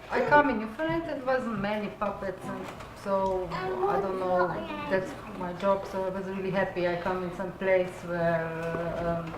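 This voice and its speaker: A woman with a Slovenian accent speaks at a live, informal Q&A in a roomy, reverberant space with clear noise in the recording. She feels her way through simple non-native English, pausing to find words. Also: medium pitch. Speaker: puppet designer and puppeteer. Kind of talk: informal Q&A talk after a show. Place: echoey room at a live Q&A.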